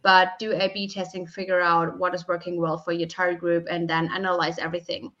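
A woman's voice: lowish voice, little pitch movement, brisk, a bit bright, highs cut off. A young woman gives conversational advice as a podcast guest, feeling her way through her phrasing. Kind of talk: conversational interview answers with practical advice.

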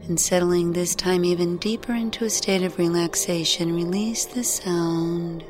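A woman guiding a meditation in a gentle, soothing, unhurried way, leaving long pauses between phrases. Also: lowish voice, steady tone. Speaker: meditation guide. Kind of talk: guided meditation narration. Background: music.

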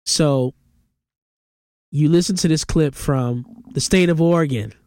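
A man's voice: a little high, measured pace, a little mellow, no echo, studio quality. A congested man in his forties hosting a solo podcast, giving emphatic, opinionated commentary. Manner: opinionated, emphatic, rising to exclamations. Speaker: podcast host.

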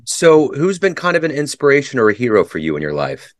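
A man hosting a podcast interview, asking friendly questions and chiming in with quick affirmations. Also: mid-range voice, sing-song, dry recording. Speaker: interview host.

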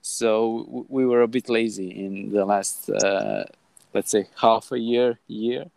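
A man in his thirties from Croatia with a Croatian accent, hosting a casual podcast chat in halting English with frequent restarts. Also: lowish voice, lively intonation, creaky, a little mellow, no room sound. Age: 30s. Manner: halting, many restarts and fillers. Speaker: podcast host.

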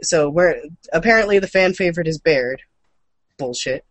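High-pitched man in casual host chat. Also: expressive, moderate pace, a bit bright, dry recording. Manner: informal, mildly profane. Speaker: show host. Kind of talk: casual co-hosted chat about gaming and comics news.

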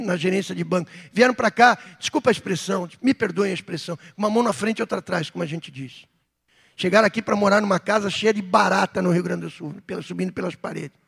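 A middle-aged Brazilian man preaching a sermon as pastor, with fervent outbursts and softer reflective stretches. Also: sits high, gravelly, husky. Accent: Brazilian Portuguese. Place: church hall. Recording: long hall reverb.

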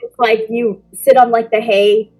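A woman's voice: a little high, flat delivery, a little slow, a little mellow, faint music behind, rough recording. A woman co-hosting a casual live-stream chat, talking enthusiastically and casually. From New York.

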